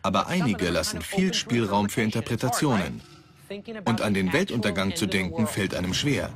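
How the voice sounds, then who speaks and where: A man's voice: on the low side, very animated, normal speed. A man delivering scripted documentary narration as a presenter. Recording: music under the voice.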